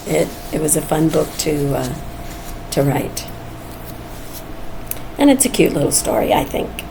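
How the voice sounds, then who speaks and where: Creaky-voiced woman of about seventy, low pitch. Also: sing-song, unhurried. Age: almost 70. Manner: candid, musing, chuckles often. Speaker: vlogger. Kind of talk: casual, rambling personal vlog talk. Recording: noisy background ambience.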